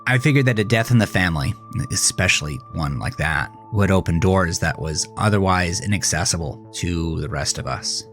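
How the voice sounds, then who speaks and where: A man narrating a scripted first-person horror story in a dramatic, deliberate way with drawn-out pauses. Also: deep voice, a bit bright. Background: background music.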